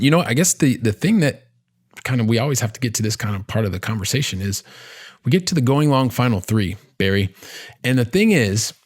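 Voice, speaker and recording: A man hosting a podcast in a conversational interview style, with wordy, halting phrasing and catchphrases. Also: fairly low pitch. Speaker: podcast host.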